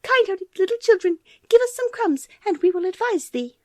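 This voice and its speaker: Small kindly birds chirp their advice in a high, light voice, as a woman puts them on. The tone is gentle and quick, like a storybook flock. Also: lively intonation, no echo, studio quality.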